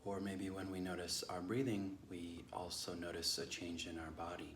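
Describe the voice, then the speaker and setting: A man leading a guided meditation in a measured, unhurried way with long pauses between phrases. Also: sits low, flat delivery, fairly bright tone, reverberant, slight background noise. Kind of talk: guided meditation, slow instruction.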